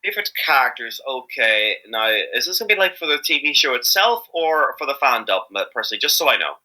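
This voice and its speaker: Man, amateur voice actor, interview chat, very sharp bright tone, medium pitch, hesitant, self-correcting. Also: big pitch swings, quick pace.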